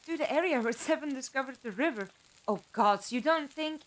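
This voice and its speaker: An actress in an audio drama playing a plucky, excitable female companion, anxious but capable. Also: sits high, a little fast, slight background noise. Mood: worried. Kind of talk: fan audio drama acting.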